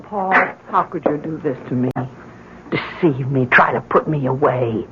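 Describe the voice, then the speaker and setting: A woman's voice: very deep voice, a little slow. An actress playing an anguished, obsessed grieving mother in an old-time radio drama, pleading intensely. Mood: accusing, pleading. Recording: muffled, noisy old radio recording.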